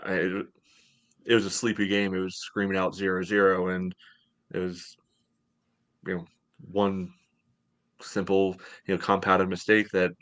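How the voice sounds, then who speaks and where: A man's voice: low-pitched, monotone, unhurried, pauses often, a bit bright. A middle-aged American man co-hosting a podcast, casually analyzing sports from home over a video call. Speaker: podcast co-host.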